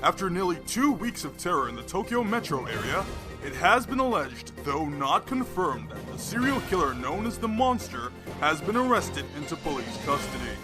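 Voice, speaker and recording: A man's voice: fairly high pitch, very animated, moderate pace. A man playing a male television news anchor reading a special bulletin in a formal newsreader manner, with music beneath. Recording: music underneath.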